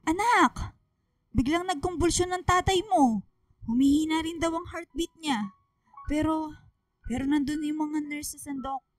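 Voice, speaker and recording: A woman's voice: high voice, a little slow, long pauses, no room sound, studio quality. A woman playing a worried, caring middle-aged mother with a Filipino accent in a radio drama, grave and emotional.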